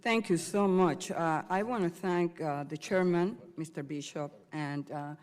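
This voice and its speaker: A woman from Puerto Rico, a member of Congress, questioning a governor at a hearing in a measured way with frequent fillers. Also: on the low side, a little mellow, clear, resonant tone. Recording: hall reverb.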